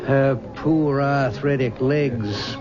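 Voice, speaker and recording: A man's voice: medium pitch, drawn-out words. An actor playing a worldly, scheming middle-aged father who talks in a jovial, chuckling and sly way in a radio drama. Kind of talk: 1970s radio drama acting. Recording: dull 1970s radio recording with music.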